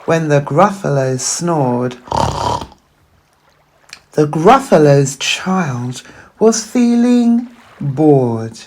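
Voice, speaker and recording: Very slow, animated woman storyteller. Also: fairly low pitch. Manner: dramatic, deliberate. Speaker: children's storyteller, teacher. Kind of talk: expressive picture-book reading for young children.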